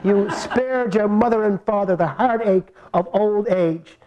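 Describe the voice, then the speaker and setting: A man putting on a high, stern fairy-tale queen. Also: measured pace, highs cut off. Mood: approving. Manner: haughty, then gently praising. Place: live stage puppet show before an audience.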